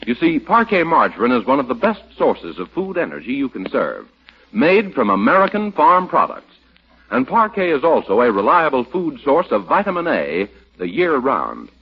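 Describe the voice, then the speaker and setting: A man's voice: mid-range voice, huge pitch swings, normal speed, gravelly, slightly rough. A man announcing in scripted 1940s radio sponsor style, with a polished, emphatic salesman's delivery. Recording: muffled old 1940s radio recording.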